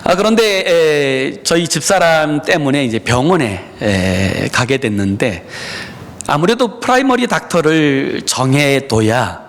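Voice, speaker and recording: A middle-aged male pastor gives a Sunday sermon in his church. He speaks to the congregation in an earnest, personal way and often pauses on "uh". His pitch moves up and down a lot as he talks. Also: very animated. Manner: frequent "에" fillers, personal and earnest, addresses congregation. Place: church sanctuary.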